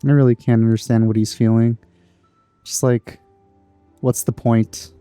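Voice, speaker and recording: Male game commentator, dark mellow tone, dry. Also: mid-range voice, little pitch movement, unhurried, smooth, dry recording, soft background music. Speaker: gameplay commentator. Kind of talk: casual play-along commentary.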